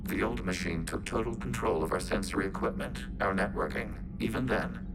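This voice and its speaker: An actor playing a calm, logical robotic alien intelligence in a video game, with a synthetic filter and formal, measured, literal phrasing. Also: male voice, lowish voice, noisy background. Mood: reflective, literal. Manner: measured, clipped, formal phrasing, calling itself we. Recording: game music behind the voice.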